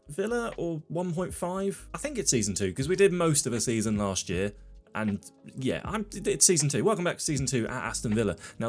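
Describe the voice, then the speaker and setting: A man with a blocked-up, stuffy voice from a cold chats casually through his football management save, self-deprecating and prone to trailing off mid-sentence. He sits at a home desk speaking into a microphone, with guitar music playing clearly underneath. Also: a little high. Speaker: game commentator.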